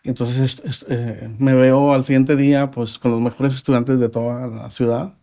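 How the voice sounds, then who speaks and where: A man from Mexico with a Mexican accent, a podcast guest telling his life story in a reflective, halting way with frequent pauses. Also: medium pitch, flat delivery, warm tone. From Mexico (Nuevo Laredo). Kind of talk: personal testimony in a podcast interview.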